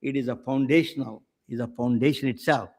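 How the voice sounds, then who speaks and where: A man with an Indian accent hosting a webcast interview, halting and restarting often. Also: mid-range voice, fairly even intonation, darkish tone. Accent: Indian English. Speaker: webcast host.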